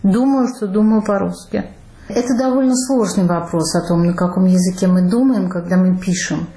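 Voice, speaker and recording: Mellow-voiced woman novelist, roomy sound. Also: mid-range voice. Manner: thoughtful, measured, with soft laughs. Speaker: novelist guest. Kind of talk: interview answers.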